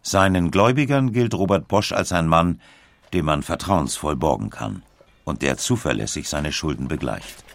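Man, very low voice, gravelly fry, scripted documentary narration, measured delivery, dry studio sound. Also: expressive, no room sound. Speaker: audiobook narrator.

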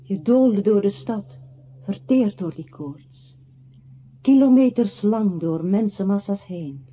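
Solemn woman narrator, husky, deliberate, radio play on a thin phone-like recording. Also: mid-range voice, expressive.

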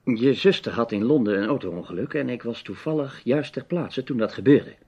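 An actor playing a serious, probing male investigator, measured and insistent, in an old Dutch radio play with a dull sound. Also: mid-range voice, animated, darkish tone, breathy edge. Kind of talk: radio drama acting in Dutch. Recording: dull, band-limited old radio recording.

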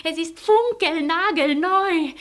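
A woman's voice: very high-pitched, slow pace. An actress playing an anxious, earnest, devoted refugee woman in a German radio play, with emotional delivery. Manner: emotional, dramatic.

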